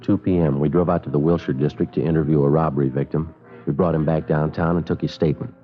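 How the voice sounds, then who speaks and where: A dull old radio recording with music carries a very low-voiced male detective. He is terse and deadpan, clipping his way through a 1950s crime drama with level-headed calm. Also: fast. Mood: deadpan, businesslike.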